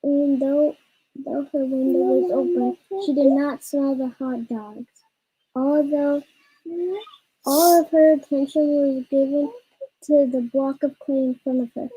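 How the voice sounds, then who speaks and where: A young girl reading a story aloud haltingly, stumbling and repeating words. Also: sits high, steady tone, narrow, tinny audio. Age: child. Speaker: child co-reader of a storytime.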